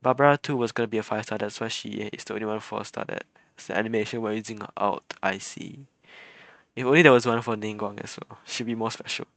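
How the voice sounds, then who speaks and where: A man's voice: on the low side, fairly even intonation, fast talker, fairly bright tone, dry recording. A man doing casual livestream game commentary, rambling and hesitant as he thinks aloud. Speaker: game streamer.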